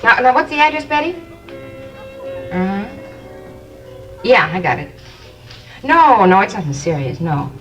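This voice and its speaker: An actress playing a blunt, chatty waitress in a 1950s radio crime drama, with brassy, streetwise talk. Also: female voice, medium pitch, sing-song, unhurried, long pauses, a bit bright, faint music behind, poor audio.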